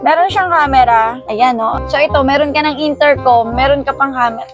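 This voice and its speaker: A woman's voice: high-pitched, a little fast, over music. A Filipino woman talking casually and playfully to viewers as she presents a product in an unboxing vlog. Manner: playful, giggly, chatty with exclamations. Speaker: vlogger unboxing a motorcycle intercom.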